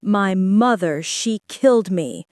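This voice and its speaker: A woman's voice: medium pitch, expressive, slow pace, darkish tone, no room sound, studio quality, voice pushed down. A woman voicing a magical singing bird, chanting its song in a sing-song way. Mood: haunting chant. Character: magical singing bird, boy's spirit, eerie.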